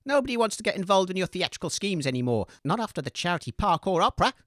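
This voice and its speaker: A man's voice: high-pitched, quick pace, dry recording, studio quality. A man playing a chirpy, sensible radio co-host in a scripted comedy drama. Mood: exasperated. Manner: chirpy, animated. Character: relentlessly cheery, exasperated voice-of-reason radio co-host.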